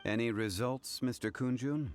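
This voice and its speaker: A man playing a calm, learned gentleman in a video game, speaking in a formal, measured, erudite manner. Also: mid-range voice, slight background noise, close to an everyday voice. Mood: composed. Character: calm, learned, dignified male consultant of ancient wisdom.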